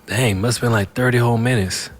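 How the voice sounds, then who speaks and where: A man's voice: mid-range voice, steady tone, brisk, faint music behind, natural voice. A man playing a cocky, drugged-out street-smart fugitive in an audio drama, rambling in slurred wordplay. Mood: breezy, oblivious.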